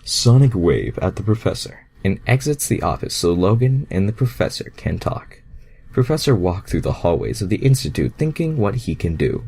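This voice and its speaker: A man's voice: low-pitched, sing-song, normal speed, creaky, mellow, husky. A man reading fan fiction aloud in an amateur, mocking way, crude and self-deprecating, breaking off to comment.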